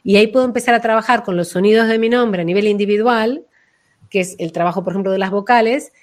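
A woman's voice: mid-range voice, fast. Woman explaining her practice conversationally, expressively. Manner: expressive, acts out names and quotes to illustrate points. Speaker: voice and name-energy practitioner being interviewed. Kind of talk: conversational explanation of a personal method.

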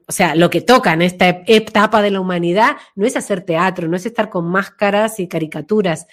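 A woman recovering from flu, explaining as an interview guest in a reflective way, repeating phrases for emphasis. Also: fairly low pitch. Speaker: interview guest, voice work coach.

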